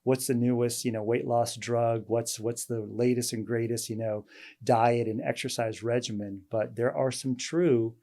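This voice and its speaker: A male doctor hosting an interview, talking in a halting way with many fillers and restarts. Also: medium pitch, steady tone, mellow. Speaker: host, weight loss doctor. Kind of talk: casual interview chat.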